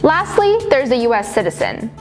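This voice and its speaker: A woman's voice: on the high side, very animated, moderate pace, faint music behind. A woman reading scripted documentary narration.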